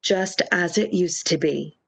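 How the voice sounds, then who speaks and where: A woman playing a calm, reassuring phantom lover, speaking soothingly and measuredly in an amateur radio drama. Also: on the low side, creaky, slightly rough, heavily acted. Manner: soothing, measured, brief answers.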